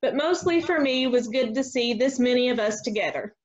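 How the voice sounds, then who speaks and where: A woman's voice: fairly high pitch, lively intonation, brisk. A woman chatting casually as host of a group video call after a table read. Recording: dull lo-fi video call audio.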